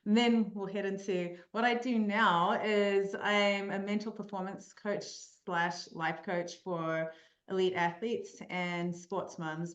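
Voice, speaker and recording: Woman, New Zealand accent, casual guest chat, lots of ums, echoey, muffled. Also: fairly low pitch. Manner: chatty, laughs easily, many ums. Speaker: podcast guest, mental performance coach. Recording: dull lo-fi sound in an echoey room.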